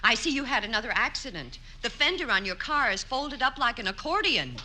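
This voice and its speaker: A sardonic wisecracking friend. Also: female voice, a little high, sing-song, fairly quick, exaggerated voice. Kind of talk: 1940s radio comedy acting. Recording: old radio broadcast with loud hiss.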